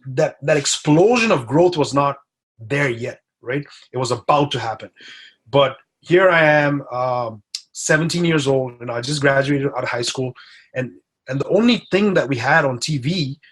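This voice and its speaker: A man's voice: mid-range voice, fairly bright tone, slightly rough. A man in his forties who grew up in Dubai, a podcast guest telling his story in a chatty way that keeps checking in with "right?" and "you know". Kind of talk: casual interview storytelling.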